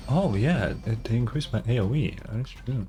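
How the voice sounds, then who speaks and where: A man's voice: medium pitch, animated, moderate pace, over music. A man doing casual live gameplay commentary as a streamer, talking in an informal, slangy, wry way. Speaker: game streamer.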